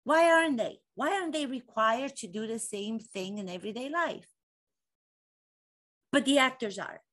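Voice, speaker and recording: A woman's voice: a little high, normal speed, fairly bright tone. A woman in her forties with a Romanian accent, an acting coach giving a fervent monologue full of rhetorical questions and repeated phrases. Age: 40s. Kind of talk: impassioned monologue to camera.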